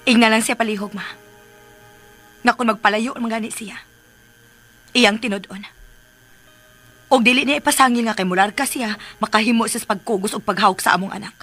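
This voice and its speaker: A woman playing a wounded but resolute young mother in a radio drama, with a firm, measured delivery. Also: on the high side, a bit bright, soft background music.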